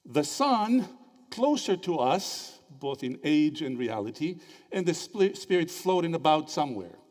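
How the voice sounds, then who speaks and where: Animated man lecturing in a hall. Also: fairly high pitch. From Greece. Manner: animated, quizzes the audience with quick questions. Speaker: theology speaker at a symposium. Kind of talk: interactive lecture. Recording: reverberant hall.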